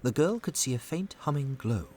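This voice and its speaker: A man's voice: medium pitch, lively intonation, normal speed, a little mellow, a little hiss or hum. A man narrating a family audio adventure in a scripted storybook style. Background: music under the voice.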